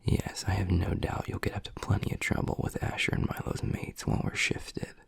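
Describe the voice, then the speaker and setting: A deep, heavily creaky male werewolf alpha, acted by a man, speaks in an intimate roleplay. He is blunt and dry, with a protective, tender streak under the gruffness. The recording is close and dry. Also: very animated, a little fast, no room sound, in a whisper, heavily acted. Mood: teasing, fond. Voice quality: husky, gravelly, breathy low voice. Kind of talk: intimate boyfriend audio roleplay, close and quiet.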